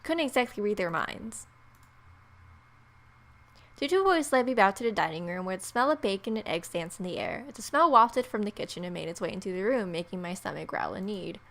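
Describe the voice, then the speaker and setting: A woman's voice: medium pitch, expressive, a little fast, vocal fry, a bit bright. A woman hosting a let's play, reading game narration expressively and adding playful, wry casual asides. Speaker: gamer, video host.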